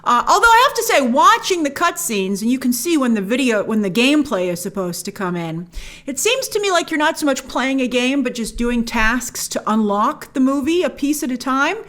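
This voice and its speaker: A woman with a high voice delivers opinionated review commentary as a show host. Her pitch swings widely and she leans hard on key words. She addresses her viewers directly. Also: big pitch swings, moderate pace, a bit bright. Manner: emphatic, stressing key words.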